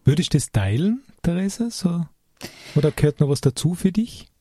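Radio talk host, husky and very dark, dry studio sound, asks thoughtful questions. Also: medium pitch, very animated, brisk, no room sound.

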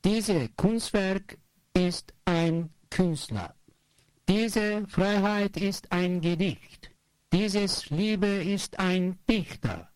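A woman slowly reciting short poetic lines in a measured, list-like way. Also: medium pitch, a little mellow, dry recording, poor audio. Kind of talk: spoken-word art poem.

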